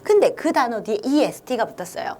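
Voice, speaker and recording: Lively Korean woman teaching English. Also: a little high, quick pace. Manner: lively, coaxing, talks to students directly. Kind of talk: online English reading lesson for kids.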